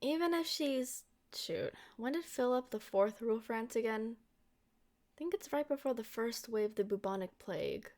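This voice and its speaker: A woman plays a doting, possessive vampire girlfriend in an intimate ASMR roleplay. Her voice is fairly high and youthful, with a sweet, playfully expressive delivery aimed straight at her beloved. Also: normal speed, natural voice. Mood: playful, explaining. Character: centuries-old, young-looking vampire girlfriend, doting and possessive.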